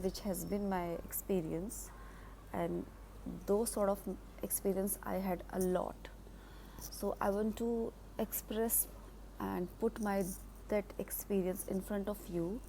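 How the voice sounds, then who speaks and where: A slow-spoken woman in her thirties with an Indian accent gives an off-the-cuff English lesson, pausing often with fillers and restarting her sentences. Her voice is mellow and relaxed. A faint hiss of background noise sits under the recording. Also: mid-range voice, low-quality recording. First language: Hindi. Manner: halting, many fillers and restarts. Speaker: English teacher.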